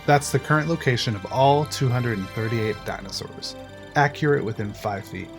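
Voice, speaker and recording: A man's voice: medium pitch, moderate pace, lightly acted. A man playing a proud, matter-of-fact control-room engineer in an audio drama, explaining with confidence. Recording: music under the voice. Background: music.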